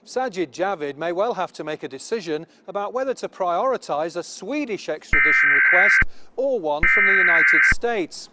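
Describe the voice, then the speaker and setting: A man's voice: high-pitched, expressive, normal speed. A man giving news commentary in a very noisy broadcast clip with clicks.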